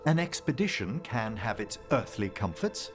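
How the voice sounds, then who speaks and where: Fastidious gentleman scholar with lively intonation. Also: on the low side, over music. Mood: prim, amused. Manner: formal, refined, gently pompous. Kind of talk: video game character dialogue.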